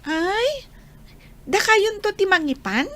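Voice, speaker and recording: A woman plays a young child in an Ilocano radio drama, with a very high, small voice. The child talks earnestly to family with a northern Philippine Ilocano accent. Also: sing-song, slow pace, a bit bright, heavily acted. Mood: curious, eager.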